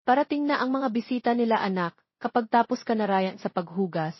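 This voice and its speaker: A woman's voice: a little high, lively intonation, brisk, breathy edge, no echo. Casting: woman narrator as busy, directive mother in a romance audiobook, dry and slightly lo-fi. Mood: instructing. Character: busy mother giving household orders to her child.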